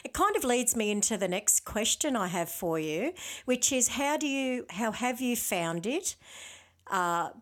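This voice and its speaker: A woman's voice: fairly high pitch, sing-song, unhurried. Encouraging woman podcast host. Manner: warm, encouraging, agrees eagerly. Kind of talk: casual interview chat.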